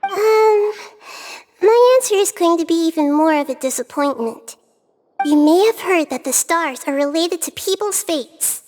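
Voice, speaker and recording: A woman voicing a gentle, wise young girl in a video game, calm, kindly and measured in her delivery. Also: extremely high pitch, a little hiss or hum. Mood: thoughtful. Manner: measured, thoughtful, articulate. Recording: music behind the voice.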